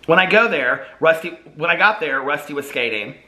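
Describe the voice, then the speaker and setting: A man's voice: fairly high pitch, expressive, fairly quick, bright, forceful tone. A man with a chatty, playful, informal way of talking, casually vlogging and reading his own book aloud. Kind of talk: casual chat and storybook read-aloud.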